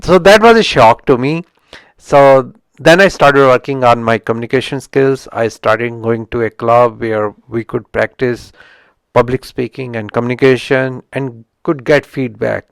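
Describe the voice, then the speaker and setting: A man's voice: mid-range voice, creaky, clean tone, no room sound, low-quality recording. A middle-aged man from India with an Indian accent, who learned English as a second language, hosts an unscripted book review and often restarts his sentences. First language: not English. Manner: halting, with frequent restarts and self-corrections. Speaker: channel host reviewing a book.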